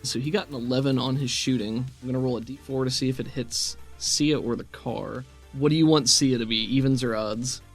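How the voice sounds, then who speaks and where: A man with a mid-pitched voice acts as game master, with faint music behind him. He describes scenes like a storyteller, then drops into informal rules talk. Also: steady tone, a little fast, few pauses. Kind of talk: narrating a tabletop roleplaying game, casual rules talk.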